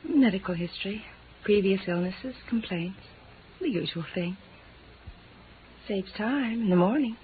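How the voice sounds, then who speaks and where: A woman's voice: medium pitch, lively intonation, unhurried, long pauses, lightly acted. A woman playing a pleasant, efficient doctor's receptionist in a 1950s radio drama, polite and businesslike. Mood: helpful. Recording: tinny, hissy 1950s radio recording.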